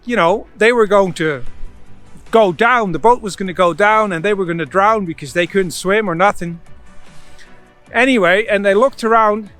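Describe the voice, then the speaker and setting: A man's voice: sits high, a bit bright. A man hosting a casual devotional, telling Bible stories in a folksy, conversational way peppered with "you know". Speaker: host, Bible storyteller.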